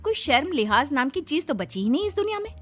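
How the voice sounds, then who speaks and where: A woman's voice: high-pitched, very animated, fast talker, a bit bright, pitched above the performer's own voice. A woman voicing a judgmental, nosy housewife in a cartoon story, exclaiming with disapproval. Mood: scandalised. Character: judgmental, gossipy housewife, nosy and easily scandalised. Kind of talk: Hindi cartoon story dubbing. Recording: music behind the voice.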